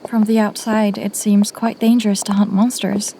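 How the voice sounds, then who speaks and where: An actress playing a guarded undercover inquisitor in a fantasy audio drama, with a polite, careful way of speaking. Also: female voice, mid-range voice, little pitch movement, moderate pace, dark tone, a little hiss or hum, lightly acted. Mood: polite, wary. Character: guarded undercover female inquisitor posing as businesswoman.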